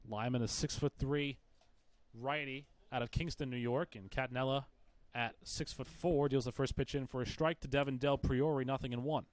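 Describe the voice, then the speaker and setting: Male play-by-play baseball announcer, mid-pitched voice, dry broadcast sound. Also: fairly even intonation, moderate pace, no echo. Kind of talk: live sports commentary.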